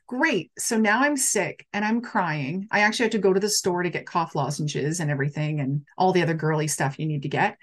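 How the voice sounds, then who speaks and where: A woman's voice: lowish voice, fairly bright tone. A middle-aged woman hosting a casual live stream, talking animatedly to viewers and reading out their comments.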